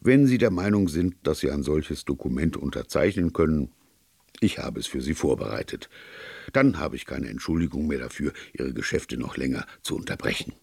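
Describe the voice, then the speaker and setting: A man's voice: very deep voice, animated, moderate pace, gravelly, darkish tone, husky, dry recording, exaggerated voice. A man voicing a courteous, calculating police chief who speaks formally and deferentially in an audiobook story.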